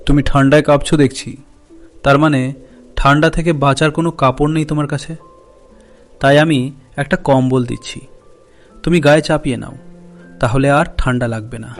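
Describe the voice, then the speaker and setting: A man's voice: mid-range voice, steady tone, moderate pace, pauses often, soft background music, lightly acted. A man voicing a kindly, generous village merchant in a cartoon fairy tale, speaking in an earnest way.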